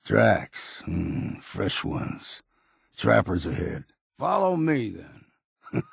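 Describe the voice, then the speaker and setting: Game companion role: weathered older island guide, heavy fry and rasp, blunt folksy drawl, wary and gruff. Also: male voice, on the low side, wildly swooping pitch, drawn-out words, no room sound, studio quality, heavily acted. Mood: steady, watchful. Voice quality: gravelly, raspy. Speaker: companion character in a video game. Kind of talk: video game voice lines.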